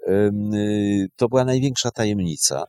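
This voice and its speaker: A man giving spontaneous historical commentary as a guest historian, halting as he searches for words. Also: on the low side, warm tone, dry recording, studio quality.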